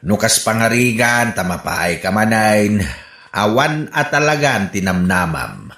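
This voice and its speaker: A man's voice: fairly low pitch, big pitch swings, unhurried, sharp tone, echoey room, exaggerated voice. A man with an Ilocano accent acting an incredulous, exasperated village father in a comedy radio drama.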